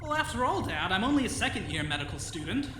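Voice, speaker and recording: A hesitant young student played by a man talks quickly in a high voice, deferring like a dutiful son in a vintage radio play. The stage sounds roomy. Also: big pitch swings, pushed and bright, full character voice. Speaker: actor. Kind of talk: live old-time radio drama acting. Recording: roomy live stage, faint noise.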